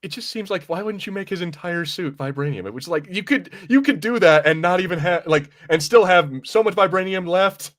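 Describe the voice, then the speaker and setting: A man co-hosting a podcast, talking through movies casually in a halting, conversational way with some swearing. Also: high-pitched, fairly bright tone, no room sound, clean studio sound. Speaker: podcast co-host. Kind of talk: casual movie review chat.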